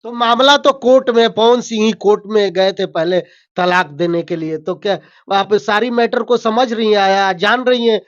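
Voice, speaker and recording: A woman's voice: mid-range voice, fairly even intonation, normal speed. Woman interviewer, dull lo-fi recording. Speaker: interviewer for a web news channel. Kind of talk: conversational interview questioning. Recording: dull, lo-fi sound.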